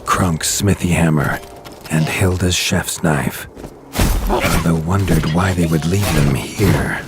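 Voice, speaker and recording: A man giving grave, measured storybook narration for a game, recorded as a studio voice-over. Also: deep voice, background noise. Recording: loud music underneath.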